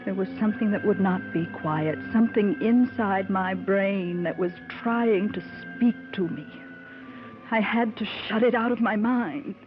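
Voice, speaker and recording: A woman's voice: mid-range voice, a little slow, close to an everyday voice. An actress playing a troubled, anxious wife, voicing her dread in tense, dramatic vintage radio style. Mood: haunted, narrating. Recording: muffled vintage radio broadcast with music.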